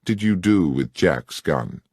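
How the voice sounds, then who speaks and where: A man playing a shrewd gentleman detective with an English accent, formal, precise and authoritative. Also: sits low, lively intonation, slow, full character voice. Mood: probing. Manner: crisp, precise, formal, commanding.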